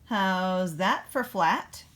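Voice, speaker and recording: An eager storybook backhoe loader, proud of its dirty work, as a woman reads it out. The delivery is playful and emphatic, very slow and deliberate, with words set well apart. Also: mid-range voice, expressive, fairly bright tone. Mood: cheerful, boastful. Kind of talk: picture-book read-aloud.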